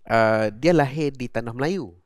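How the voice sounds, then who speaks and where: A man hosting a relaxed conversation, explaining words at length and affirming his guest often. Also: medium pitch, moderate pace, no echo, clean studio sound. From Malaysia. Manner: explanatory, chatty, frequent affirming interjections. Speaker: host. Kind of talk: conversational interview.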